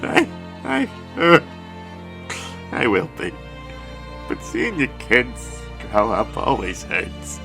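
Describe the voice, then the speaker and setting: A man playing a doting, flustered scientist father in an audio drama, speaking in a halting stammer. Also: medium pitch, huge pitch swings, gravelly, fairly bright tone, exaggerated voice. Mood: wistful, flustered. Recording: music under the voice.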